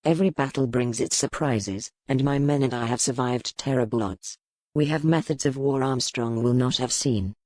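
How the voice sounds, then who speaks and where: A woman voicing a battle-hardened duke, a commanding but gentle lover, in a historical romance audiobook. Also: very deep voice, moderate pace, no echo, voice pushed down. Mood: resolute. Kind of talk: dramatic audiobook character reading.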